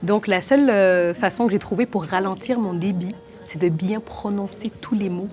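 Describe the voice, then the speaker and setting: A young adult woman from Belgium, talking fast with many restarts in a documentary interview. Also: medium pitch, darkish tone. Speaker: actress and playwright.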